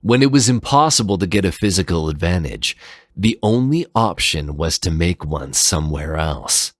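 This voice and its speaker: A man's voice: low-pitched, animated, moderate pace, dry recording, studio quality. A man narrating a scripted dramatic fan fiction story.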